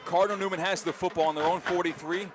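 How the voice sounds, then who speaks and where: High-pitched American man calling football play-by-play. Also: fairly even intonation, fast, music in the background. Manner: emphatic, dramatic calls. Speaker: play-by-play announcer. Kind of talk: live American football radio play-by-play commentary. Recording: clear outdoor stadium noise behind. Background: outdoor stadium ambience.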